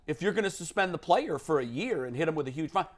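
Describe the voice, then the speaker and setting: A man's voice: on the high side, lively intonation, quick pace. A man hosting a sports talk panel, making his case emphatically and posing rhetorical questions. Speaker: sports talk show host.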